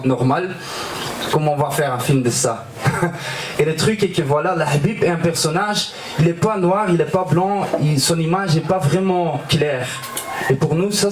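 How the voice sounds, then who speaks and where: A man whose first language is Dutch, with a Flemish Belgian accent, a filmmaker talking slowly in an interview and often searching for his words. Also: a little high, reverberant, noisy background. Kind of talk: casual interview.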